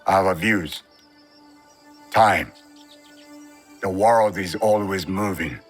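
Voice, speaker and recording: Grave older warrior mentor for a game, lowish voice, cryptic and serious, music behind. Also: male voice, full character voice. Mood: reflective. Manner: cryptic, measured, solemn. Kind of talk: dramatic video game cutscene acting.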